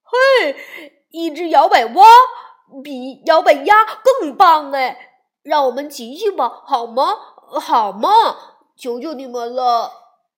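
Woman playing shrill pleading bear cubs. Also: expressive, takes it slowly, a bit bright, full character voice. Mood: excited. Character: young bear cubs, excited and whiny.

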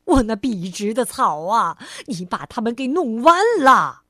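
A woman's voice: lowish voice, normal speed, gravelly, slightly rough, no echo, studio quality, voice pushed down. A woman voicing a fussy, tidy grown man who is easily upset, exclaiming indignantly in a children's storybook reading.

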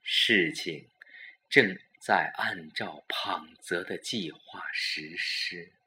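A man narrating a scripted audio drama in a measured, suspenseful manner. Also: low-pitched, sharp tone.

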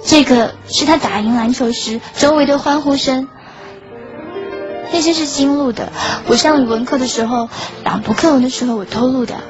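A woman's voice: high-pitched, normal speed, close to an everyday voice. A woman playing a lovelorn schoolgirl in a radio drama, narrating her heartbreak in a wistful, earnest way. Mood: tender, bittersweet. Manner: wistful, earnest, softly self-effacing. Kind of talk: Chinese radio drama acting with inner monologue. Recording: dull lo-fi audio under clear music.

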